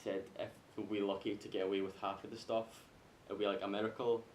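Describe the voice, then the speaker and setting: Low-voiced Scottish man in an echoey room. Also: little pitch movement, a little fast, a little mellow. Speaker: podcast panelist. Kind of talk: casual group discussion. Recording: echoey room with faint rumbling noise.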